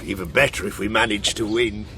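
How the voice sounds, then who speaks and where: A man's voice: on the low side, fairly even intonation, brisk, bright, forceful tone, a little hiss or hum. An older man acting a reserved, ailing father in a naturalistic radio drama. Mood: quietly pleased.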